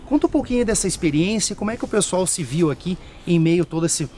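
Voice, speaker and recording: A man's voice: sits high, animated, fast, dark tone, a little hiss or hum. A Brazilian man reporting on location, interviewing staff and speaking directly to viewers in a promotional tone. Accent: Brazilian Portuguese. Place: outdoors and in a lab at a rural nursery.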